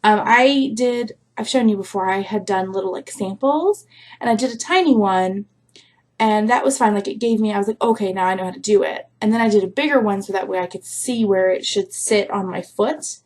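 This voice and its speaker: A woman vlogger chatting casually to her viewers, rambling with lots of fillers, her voice a little rough from a recent sore throat. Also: medium pitch, brisk. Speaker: crafting vlogger. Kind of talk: casual chat to camera.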